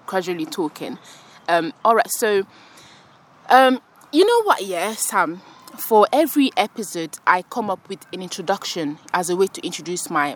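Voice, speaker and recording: A woman from France hosting a podcast, talking casually and warmly with a guest while sitting on grass outside, laughing often and using lots of fillers. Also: medium pitch. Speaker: podcast host.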